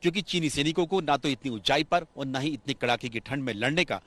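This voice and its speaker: A man's voice: mid-range voice, fast talker, background noise. A man reading a scripted news voice-over in a dramatic, emphatic manner.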